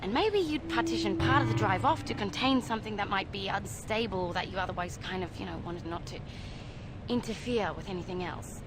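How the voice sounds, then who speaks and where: A woman voicing a composed, caring young spacecraft engineer in a game, speaking in a measured, naturalistic way with hesitant pauses. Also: mid-range voice, over loud music, close to an everyday voice. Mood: measured explaining.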